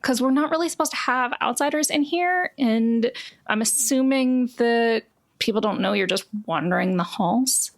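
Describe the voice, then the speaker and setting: Woman playing a casual teen girl thief, higher-pitched. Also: normal speed, gravelly. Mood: cool, explaining.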